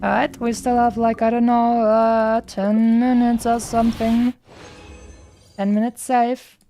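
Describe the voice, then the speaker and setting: A woman's voice: on the high side, flat delivery, a little slow, mellow, soft background music. A woman streamer giving casual, chatty gameplay commentary, rambling and thinking aloud as she goes.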